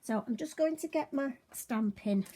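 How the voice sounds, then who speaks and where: A woman, slightly hoarse and occasionally croaky, chatting through a crafting tutorial with friendly, self-deprecating asides. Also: high-pitched, moderate pace, dark tone, slight background noise. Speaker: card-making demonstrator.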